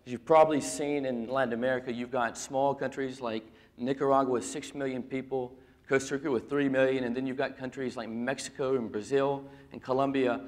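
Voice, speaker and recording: A man in his thirties on a conference stage, fluent and confident, speaking in a businesslike flow. His pitch is average for a man. He is picked up on a lapel mic. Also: steady tone, a little fast. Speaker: nonprofit executive director, panelist. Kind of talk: conference panel answer. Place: indoor conference stage with audience.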